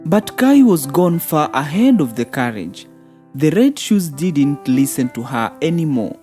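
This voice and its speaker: A woman narrating a scripted fairy tale for children as a storyteller. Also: very deep voice. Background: soft background music.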